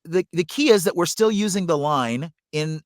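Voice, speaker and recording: Dry-sounding man, animated voice coach. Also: fairly high pitch, moderate pace, no room sound. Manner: animated, acts out examples as he explains. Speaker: voice actor and coach. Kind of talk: conversational interview storytelling.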